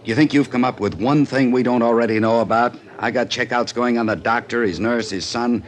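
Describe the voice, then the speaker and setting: An actor playing a grumpy, world-weary middle-aged American police lieutenant, curt and irritable, in a 1950s radio crime drama. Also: male voice, medium pitch, fairly quick, close to an everyday voice. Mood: annoyed.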